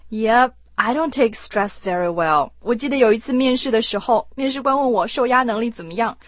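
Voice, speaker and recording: A woman's voice: fairly high pitch, a little fast, no echo. A woman hosting a language lesson, chatting and switching between two languages. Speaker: host. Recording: dry studio sound.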